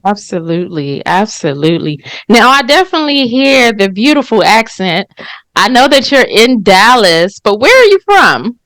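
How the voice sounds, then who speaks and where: A woman's voice: mid-range voice, big pitch swings, normal speed, fairly bright tone, dry recording. A woman hosting a podcast interview, asking questions with brief encouraging replies. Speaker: podcast host. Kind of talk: casual interview chat.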